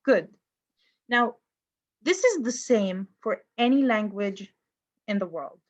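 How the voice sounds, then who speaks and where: A woman's voice: mid-range voice, lively intonation, slow pace. A woman lecturer teaching an online class over a video call, talking conversationally and often putting questions to her students. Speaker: university lecturer.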